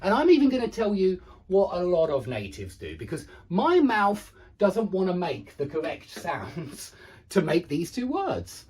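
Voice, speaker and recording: An English teacher with a husky voice gives a pronunciation lesson. The pitch swings around freely while examples are repeated slowly and carefully, in a frank, self-deprecating way. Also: wildly swooping pitch, darkish tone. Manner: self-deprecating, frank about own difficulty; careful, repetitive enunciation of examples. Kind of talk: instructional lesson with demonstrated sounds.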